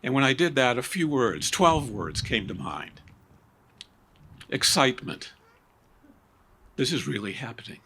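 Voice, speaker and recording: Bright-voiced older man giving ceremony remarks. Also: mid-range voice, animated, husky. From Maine. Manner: measured, stresses key words with rising emphasis. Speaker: ceremony speaker, former cadet.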